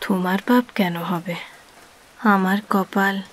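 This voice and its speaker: A woman's voice: mid-range voice, steady tone, takes it slowly, natural voice. A woman acting a long-suffering, defiant young wife in a Bengali audio drama, answering back with exasperation. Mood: resigned. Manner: retorting, evasive, exasperated.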